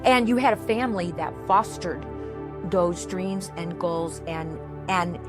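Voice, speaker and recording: A woman's voice: on the low side, unhurried, over music. A middle-aged or older woman hosting a casual interview, encouraging and affirming her guest. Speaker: interview host.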